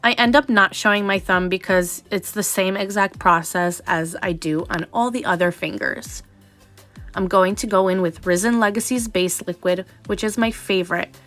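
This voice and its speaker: A woman's voice: medium pitch, moderate pace, a bit bright, soft background music. A young adult woman narrating a nail tutorial voice-over in a chatty, explanatory way, sounding slightly nasal from a cold.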